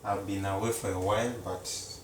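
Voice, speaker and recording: A man, a Luganda speaker, chatting casually to viewers as he cooks in a home kitchen, slow and repetitive. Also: sits low, little pitch movement, reverberant, distant mic, background noise. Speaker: cooking vlogger. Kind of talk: casual live-stream chat.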